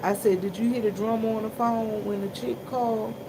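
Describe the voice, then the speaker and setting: A woman from Washington, D.C. talking casually and colloquially to viewers, telling stories in an animated way. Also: on the high side, brisk. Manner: animated, colloquial, acts out other people's remarks. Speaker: vlogger and gas station worker. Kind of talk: casual chatty storytelling to viewers. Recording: noisy room with loud hum. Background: loud humming background noise.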